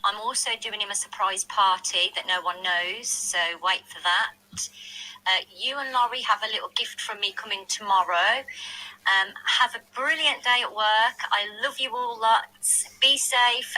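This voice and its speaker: A woman's voice: medium pitch, measured pace, sharp tone, low-quality recording. A woman leaving a chatty, affectionate recorded voice message on a phone.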